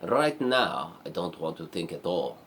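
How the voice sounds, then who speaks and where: A man's voice: deep voice, big pitch swings, unhurried, a bit bright, a little hiss or hum, exaggerated voice. A man voicing a proud young princess with dramatic wonder in an improvised story. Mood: defiant. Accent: put-on Spanish.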